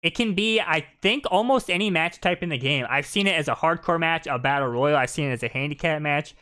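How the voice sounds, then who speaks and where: A man's voice: a little high, a little fast, bright, forceful tone, clean tone. A man giving casual, jokey live commentary as the host of a gaming video.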